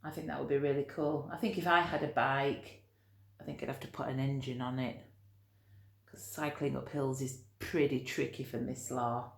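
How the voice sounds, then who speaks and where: A woman teacher reading a storybook to children, expressive, breaking off to put questions straight to her young listeners. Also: extremely low pitch, moderate pace, gravelly, reverberant. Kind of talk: animated children's storytelling with friendly asides.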